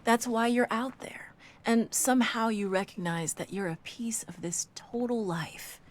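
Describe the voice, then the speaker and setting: A woman giving an expressive, reflective spoken-word recital, emphatic and at times hushed. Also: on the high side, unhurried. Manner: dramatic, emphatic delivery with hushed phrases.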